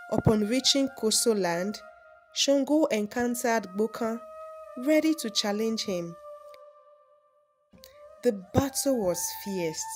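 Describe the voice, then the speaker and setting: Slow-paced female folktale narrator over music. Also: medium pitch, a little mellow. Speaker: storyteller and channel host.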